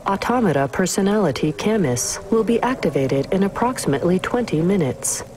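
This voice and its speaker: A woman's voice: mid-range voice, animated, a little fast, a little mellow, slight background noise, lightly acted. A woman voicing a polite, obtuse automated car assistant in a game, with formal customer-service delivery. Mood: polite, impassive. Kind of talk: scripted game dialogue.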